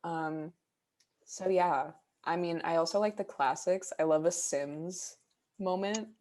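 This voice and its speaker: A woman's voice: fairly low pitch, takes it slowly, darkish tone. A young adult woman co-hosting a casual podcast over a video call, talking hesitantly with many fillers and restarts. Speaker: podcast co-host. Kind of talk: casual chat.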